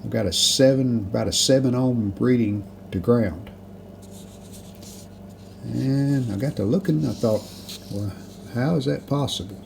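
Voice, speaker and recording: A man giving a casual hands-on repair walkthrough, unhurried and thinking aloud as he works at an indoor bench. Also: medium pitch, a little mellow. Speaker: hobbyist restorer presenting a repair video. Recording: clear background noise.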